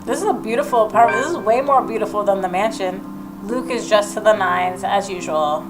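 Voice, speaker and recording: A woman's voice: mid-range voice, brisk, background noise. A woman gaming host who reads a visual novel aloud and adds opinionated, reactive commentary. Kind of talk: game commentary, reading narration aloud. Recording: game music behind the voice.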